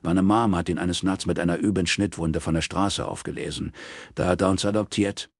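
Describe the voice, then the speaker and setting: A man's voice: low-pitched, fairly even intonation, fairly quick, no room sound, studio quality. A man voicing a terse, unflappable private detective in an audiobook, laconic and stubborn. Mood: dry, stubborn.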